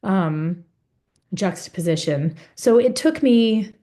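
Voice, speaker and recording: A young woman speaking on a webinar panel over a video call, earnest and halting, with many fillers and restarts. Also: medium pitch, animated, dark tone. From United States. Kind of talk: webinar testimony.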